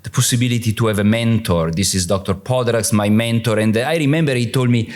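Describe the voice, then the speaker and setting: A middle-aged man with an Italian accent, giving a conference panel talk as a surgeon, halting with many filler sounds. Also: lowish voice, a little mellow. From Italy.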